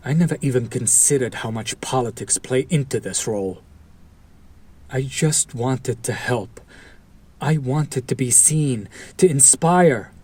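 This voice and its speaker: Man acting a husky, slow-speaking fallen hero. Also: mid-range voice, a little mellow. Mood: wistful, earnest. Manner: earnest, deliberate, intense, dramatic pauses. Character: fallen hero turned villain, idealistic, bitter, protective former partner. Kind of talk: dramatic audio roleplay monologue addressed to listener.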